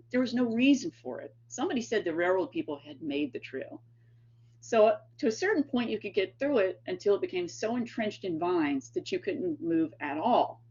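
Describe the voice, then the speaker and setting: A woman's voice: mid-range voice, a little mellow, husky. Tennessee-accented woman lecturer, rambling anecdotal delivery, dull lo-fi capture. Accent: East Tennessee. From East Tennessee. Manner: chatty, rambling anecdotes with many fillers and laughs. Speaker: guest lecturer and author. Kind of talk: storytelling lecture. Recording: dull lo-fi sound.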